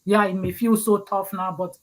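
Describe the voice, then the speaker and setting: A woman's voice: mid-range voice, flat delivery, normal speed. A woman from Nigeria, hosting a livestream interview over a video call and reading out audience comments. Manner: encouraging, repeats words while gathering thoughts. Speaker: host.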